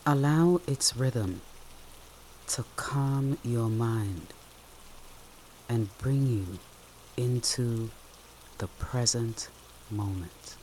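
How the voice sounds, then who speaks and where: A meditation guide speaking gently and soothingly in short phrases with long pauses, leading a guided relaxation. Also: mid-range voice, slight background noise, low-quality recording. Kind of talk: guided meditation, soft instructional narration. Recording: steady rain sound behind the voice. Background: rain.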